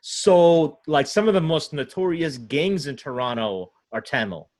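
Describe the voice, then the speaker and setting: A man's voice: fairly high pitch, lively intonation, a little slow, a little mellow. A man from Ohio hosting a casual conversation, loose and talkative, often checking in with "right?". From United States, Ohio. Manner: loose, filler-heavy, asks "right?" a lot. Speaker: podcast host.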